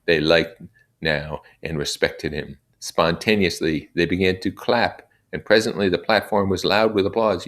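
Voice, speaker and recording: A man reading a book aloud expressively, adding casual comments as he goes. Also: a bass voice. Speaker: reader.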